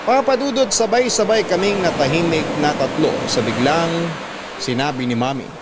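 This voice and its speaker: Higher-voiced man narrating a drama. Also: normal speed, heavy background noise. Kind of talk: dramatic first-person story narration. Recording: loud music under the voice.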